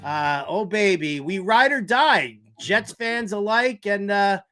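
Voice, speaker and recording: Bright, forceful male sports talk host. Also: high voice, slow, soft background music. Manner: exasperated, theatrical fan talk with repeated emphatic phrases. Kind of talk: animated sports talk and countdown commentary.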